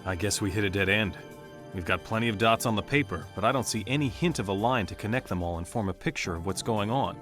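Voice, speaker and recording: A man's voice: fairly low pitch, fast, fairly bright tone, soft background music, natural voice. A man playing an analytical, skeptical special-ops team member in a video game, probing as he reasons aloud. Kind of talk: English dub acting in a video game cutscene.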